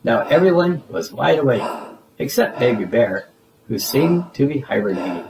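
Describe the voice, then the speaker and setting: A man reading a children's storybook aloud in a theatrical, deliberate storytelling manner. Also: medium pitch, sing-song, creaky, background noise. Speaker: storybook reader. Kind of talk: expressive children's read-aloud narration.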